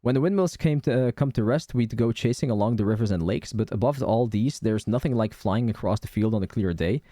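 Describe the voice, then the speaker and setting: A man's voice: medium pitch, brisk, warm tone, dry recording, studio quality. A man streaming a game playthrough in a casual, informal way, commenting and reading text aloud. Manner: informal, sweary. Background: game music.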